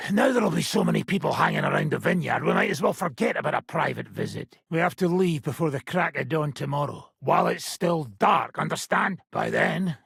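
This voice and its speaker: A very hoarse, heavily gravelled medieval mercenary boss from a video game. He is gruff, sardonic and blunt, giving orders with a pitch that moves about freely. The sound is close and dry. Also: a bit bright, no room sound, exaggerated voice. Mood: stern, commanding. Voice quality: gravelly, raspy. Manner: blunt, sardonic, commanding, swearing. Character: gruff, foul-mouthed ageing medieval mercenary band leader.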